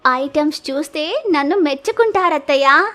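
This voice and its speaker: A young daughter-in-law in a village tale, played by a woman narrator, high-pitched and polite. She coaxes sweetly and innocently, eager to please, over background music. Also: animated, moderate pace, a bit bright. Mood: proud, coaxing. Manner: polite, cheerful coaxing. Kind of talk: animated village moral story acting.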